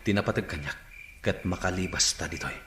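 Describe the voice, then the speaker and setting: Man as a secretive bandit turncoat, low hushed voice, earnest, radio drama, echoey room. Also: brisk, a little hiss or hum. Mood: urgent, secretive. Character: repentant, secretive young bandit planning escape with his sweetheart.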